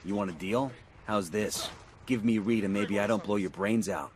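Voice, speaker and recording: A man playing a gruff special forces team leader, terse and commanding, in a military video game. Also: on the low side, normal speed, lightly acted. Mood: threatening. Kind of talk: dramatic video game acting.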